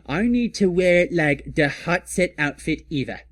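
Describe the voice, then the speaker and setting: A man's voice: a little high, expressive, unhurried, a bit bright, dry recording, clean studio sound, pitched above the performer's own voice. A man voicing a melodramatic, sulky gothic teenage girl with an overwrought, slangy manner in a comic story reading. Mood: gushing.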